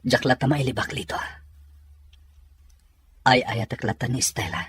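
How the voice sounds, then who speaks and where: A man's voice: mid-range voice, moderate pace, a bit bright, breathy edge. A man acting as an earnest young Filipino lover. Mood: troubled, earnest. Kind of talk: radio drama acting.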